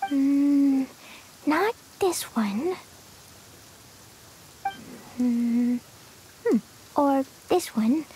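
Very high, bubbly little girl. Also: animated, very slow, long pauses, warm tone, slight background noise, exaggerated voice, poor audio. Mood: eager, pondering. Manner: childlike, bubbly, innocent. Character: cheerful, naive, excitable little girl adventurer. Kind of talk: video game dub acting.

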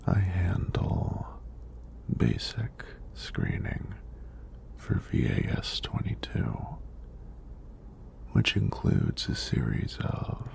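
A man playing a friendly, teasing EMT in a soft-spoken ASMR roleplay, with a deep, breathy, gravelly voice and an unhurried, reassuring manner. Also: full character voice. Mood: calm, reassuring. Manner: unhurried, reassuring, lightly playful. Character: friendly, teasing young male EMT doing a screening.

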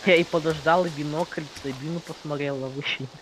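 A man's voice: a little high, steady tone, fairly quick, slight background noise, rough recording. A man talking casually with his teammates as they play a game. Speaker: gamer in a group session. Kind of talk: casual gaming chat.

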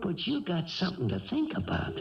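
An actor playing a mocking, pushy criminal tempter in a slangy, taunting manner in a 1970s radio drama. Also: male voice, fairly high pitch, huge pitch swings, fairly quick, gravelly, over music, full character voice. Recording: dull vintage radio broadcast recording.

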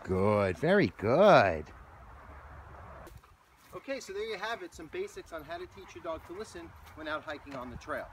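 American man, dog trainer, explaining and giving his dog commands outdoors; slightly high voice. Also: huge pitch swings, moderate pace, slight background noise. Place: outdoor hiking trail.

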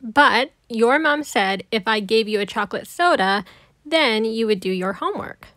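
A woman voicing a fussy, proper grown-up man, an easily flustered babysitter in a children's storybook, in a prim, drawn-out way. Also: a little high, expressive, fairly bright tone, no echo, clean studio sound. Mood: coaxing. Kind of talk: children's storybook read-aloud.

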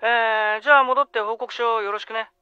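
A man's voice: very high-pitched, steady tone, a little fast, bright, forceful tone, clear, resonant tone, dull audio, soft background music, exaggerated voice. A man acting a patronizing, dismissive middle-aged vice principal in a radio drama. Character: condescending middle-aged vice principal, coldly self-serving. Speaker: actor.

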